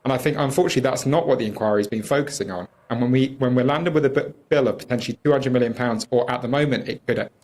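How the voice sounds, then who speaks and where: British man, political interview guest. Very quick delivery, critical and emphatic, fluent arguer. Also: medium pitch, a little mellow. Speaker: campaigner, studio guest.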